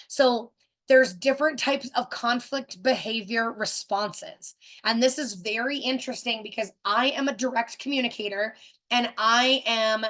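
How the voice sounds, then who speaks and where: Energetic direct woman, fairly high voice. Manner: direct, energetic, many fillers and restarts. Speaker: webinar host. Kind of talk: casual teaching talk.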